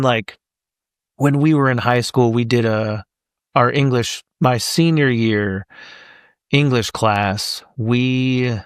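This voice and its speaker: A man's voice: medium pitch, clean tone, no echo, clean studio sound. A man in his thirties, a voice actor guest on a podcast, talking casually and thinking aloud with plenty of fillers.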